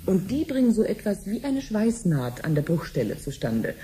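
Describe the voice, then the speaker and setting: An actress playing a friendly, patient cancer researcher in a radio drama, explaining things clearly like a teacher. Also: female voice, medium pitch, big pitch swings, normal speed, warm tone, slight background noise, natural voice. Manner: didactic, clearly phrased explaining. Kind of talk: 1970s German radio drama acting.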